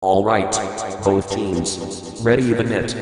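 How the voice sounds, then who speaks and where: A man's voice: fairly low pitch, steady tone, slow pace. A man playing a terse, authoritative commanding officer in an audio drama. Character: commanding male officer in charge of operation. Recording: very echoey hall, music behind.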